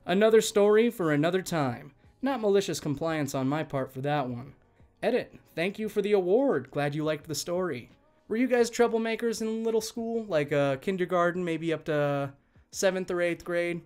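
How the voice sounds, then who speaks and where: A man working as a channel host, reading online stories aloud in an expressive, conversational way and speaking directly to listeners. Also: high voice, moderate pace. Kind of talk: reading online stories aloud, scripted narration with chatty asides.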